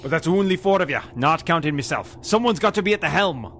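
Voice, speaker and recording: An actor playing a blunt, old-fashioned Scottish ship's engineer in an old-time radio serial parody. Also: male voice, on the high side, lively intonation, quick pace, a bit bright, a little hiss or hum. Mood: stubborn. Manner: blunt, chauvinistic, exasperated.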